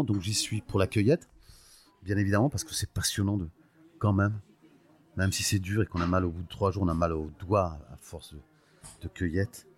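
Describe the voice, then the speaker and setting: A man in his sixties from Portugal, telling his story in an interview, thoughtful and often hesitating as he searches for words. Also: deep voice. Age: 60s. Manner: fond, proud of his home region. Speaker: guest, olive oil producer. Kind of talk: podcast interview, personal storytelling.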